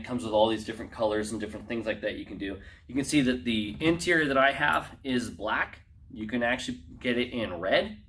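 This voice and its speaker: Low-pitched man, casual product walkthrough. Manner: informal, slangy, addressing viewers as guys. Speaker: racing driver presenting a product unboxing.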